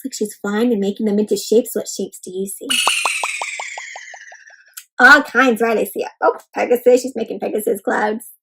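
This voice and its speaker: A woman doing an interactive children's read-aloud, expressive and full of exclamations, often putting questions to young listeners. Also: on the high side, moderate pace, gravelly, long pauses, heavy background noise. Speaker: host reading a picture book aloud to children.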